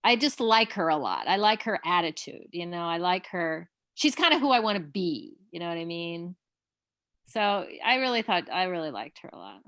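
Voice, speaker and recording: Bright-voiced chatty woman playwright. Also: fairly low pitch, expressive, no room sound. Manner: chatty, halting, laughs often. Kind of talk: casual conversation.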